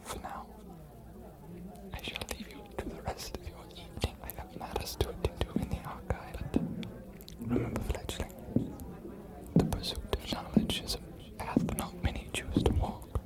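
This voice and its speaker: A woman voicing a scholarly ancient vampire archivist in an ASMR fantasy roleplay, cryptic and inviting, hushed and deliberate with weighty pauses. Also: lowish voice, expressive, lightly acted. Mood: inviting, solemn.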